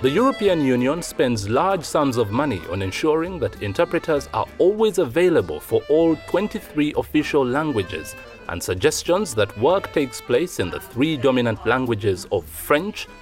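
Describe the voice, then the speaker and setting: A man's voice: mid-range voice, very animated, normal speed, soft background music. A man reading scripted narration for a documentary report.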